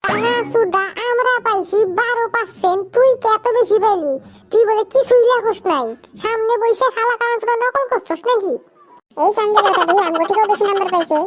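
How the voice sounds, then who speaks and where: A performer voicing a cheeky, foul-mouthed cartoon schoolboy who scolds his friends in a squeaky, brash, slangy way. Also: brisk, a little hiss or hum. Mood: indignant, abusive. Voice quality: squeaky, artificially high. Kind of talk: comedy cartoon dialogue.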